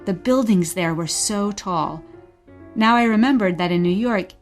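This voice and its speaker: A woman's voice: mid-range voice, a little slow, faint music behind, lightly acted. A woman playing a wistful mother reminiscing about exile and telling her story simply in a radio play. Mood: fond, nostalgic. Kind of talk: short radio play dialogue, bedtime storytelling.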